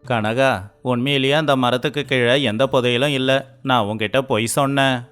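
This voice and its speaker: A man's voice: mid-range voice, fairly even intonation, moderate pace, fairly bright tone, clear, resonant tone, natural voice. A man voicing a clever, scheming village husband in a moral story, speaking persuasively and expressively. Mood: pleased, explaining. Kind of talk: acted moral story for children. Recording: faint music underneath.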